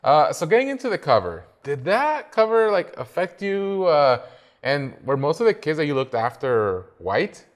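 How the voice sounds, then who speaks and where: A man's voice: on the high side, very animated, moderate pace. Laid-back man co-host. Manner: laid-back, casual slang. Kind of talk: casual podcast chat.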